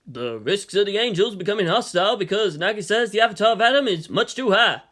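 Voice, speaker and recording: A woman's voice: mid-range voice, expressive, quick pace, fairly bright tone, voice pushed down. A woman voicing a weary, stern male president in a dramatic story, grave and emphatic. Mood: grave, resolute.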